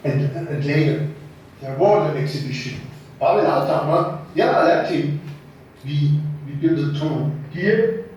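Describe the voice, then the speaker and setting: Man, Austrian German accent, halting, repeats words, slightly high voice, very echoey hall, far from the mic. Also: lively intonation, darkish tone, a little hiss or hum. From West Austria. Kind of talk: casual recollection on a guided tour. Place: large exhibition space indoors.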